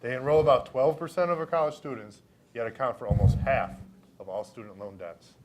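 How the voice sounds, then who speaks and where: A man's voice: medium pitch, fairly quick. A young man, a student reader, reading a formal scripted statement aloud. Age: young adult. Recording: faint noise, live event recording.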